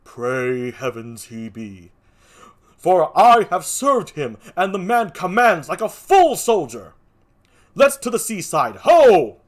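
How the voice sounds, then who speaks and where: Man playing a higher-pitched, animated Shakespearean governor. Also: takes it slowly, heavily acted. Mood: earnest, rousing. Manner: declamatory. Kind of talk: theatrical Shakespeare read-aloud.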